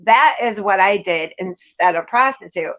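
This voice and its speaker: A woman's voice: medium pitch, animated, unhurried, a bit bright, lo-fi sound. A woman chatting casually and candidly as a livestream guest, talking in an emphatic, blunt, informal way with some swearing.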